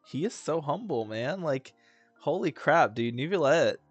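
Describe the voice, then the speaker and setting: A man's voice: fairly high pitch, lively intonation, a little slow, slightly rough, dry recording, highs cut off, soft background music. A man doing casual, opinionated reaction commentary as a streamer, talking in a slangy, rambling way.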